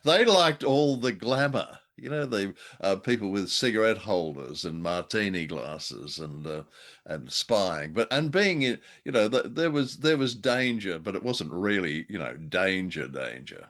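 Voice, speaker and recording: A mature man with a slightly low voice chats as a co-host. His pitch swings widely and his phrasing comes in animated, halting bursts. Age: middle-aged or older. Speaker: podcast co-host. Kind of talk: casual discussion of old-time radio.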